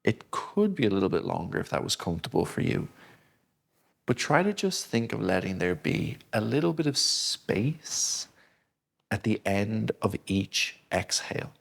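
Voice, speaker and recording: A man gently guiding a breathing exercise, speaking slowly and soothingly. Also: fairly low pitch, sing-song, creaky. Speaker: breathing instructor. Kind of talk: guided breathing lesson.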